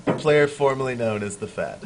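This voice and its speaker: A man's voice: mid-range voice, expressive. A man hosting a casual online show, joking with his guest and asking him questions. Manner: joking, quick to laugh. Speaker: show host. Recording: clear background noise.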